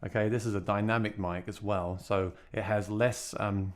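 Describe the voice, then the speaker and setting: A man with a deep voice, a gigging musician, chatting plainly through a gear review. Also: steady tone, normal speed, dry recording.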